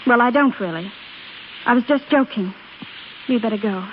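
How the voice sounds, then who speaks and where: An actress playing a wistful, coy young American office girl in old radio drama style, with hesitant, halting delivery. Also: fairly high pitch, close to an everyday voice. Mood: emotional, hesitant. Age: young adult. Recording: tinny, hissy old radio recording.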